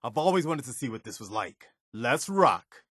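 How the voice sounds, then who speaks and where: An actor playing an earnest, loyal American militia companion in a video game, speaking in an easygoing, plainspoken way. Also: male voice, mid-range voice, very animated, moderate pace, a bit bright, no echo, studio quality. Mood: eager. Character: earnest, principled militia companion, adult man, loyal and caring.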